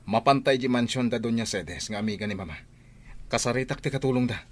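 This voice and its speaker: An actor playing a determined young gentleman from a wealthy household, with a Filipino accent, in radio comedy-drama style. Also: mid-range voice, quick pace, natural voice. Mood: urgent. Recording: radio drama with music behind. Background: music.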